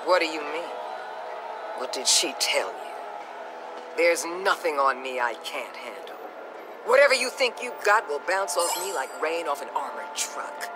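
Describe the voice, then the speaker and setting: An actress playing a blunt, unyielding government agency boss in a video game, speaking with authority. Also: female voice, low voice, animated, measured pace, music in the background. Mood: defiant. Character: hard-bitten, commanding female government agency boss.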